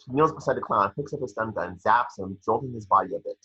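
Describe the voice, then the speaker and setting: A man's voice: fairly low pitch, animated, fast talker, bright, forceful tone, husky. A man reading scripted scene descriptions as narrator, stumbling now and then. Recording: echoey, muffled home microphone.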